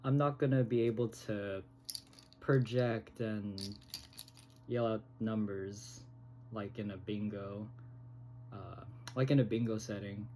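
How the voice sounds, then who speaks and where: A man talking to his phone camera in a casual vlog, halting and hesitant, with many pauses. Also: on the low side, fairly even intonation, dark tone, slight background noise. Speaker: vlogger. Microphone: phone recording.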